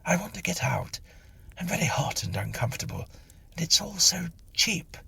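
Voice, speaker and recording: A man's voice: a little high, sing-song, normal speed, creaky, pushed and bright, slightly rough, whispered, voice pushed up, full character voice. A man voicing an irritable, exasperated male ghost who complains in a refined, petulant way, in a dramatised audiobook story.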